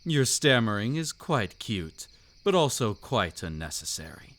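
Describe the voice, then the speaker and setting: A man's voice: fairly low pitch, very animated, measured pace, fairly bright tone. A man voicing a suave, predatory old vampire count in a scripted roleplay audio, with an aristocratic, silky, teasing and theatrical delivery. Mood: mocking, predatory.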